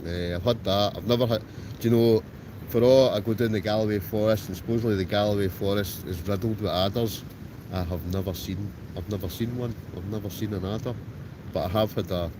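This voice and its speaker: Scottish guy, low voice, rambly chat from a tent, wind roaring all round. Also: fairly even intonation, brisk. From Scotland. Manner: chatty, rambling with restarts and fillers. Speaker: wild camper livestreaming. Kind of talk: casual livestream chat reading viewer comments. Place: in a tent outdoors in a storm. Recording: loud wind noise around the tent. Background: strong wind.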